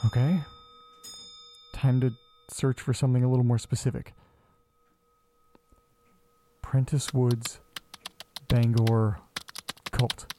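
A man playing restless everyday guy, slow unhurried delivery, mellow voice, casual deadpan muttering, horror audio drama, faint noise. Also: medium pitch, close to an everyday voice. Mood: puzzled, muttering. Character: restless, sweary man talking to himself and his pets.

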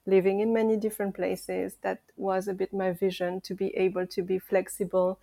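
A woman's voice: medium pitch, flat delivery, normal speed, creaky, dark tone, no echo. Woman, 30s, French accent, reflective, earnest, dry close recording. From France. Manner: reflective, earnest, searching for words. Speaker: podcast guest. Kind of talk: casual conversational interview.

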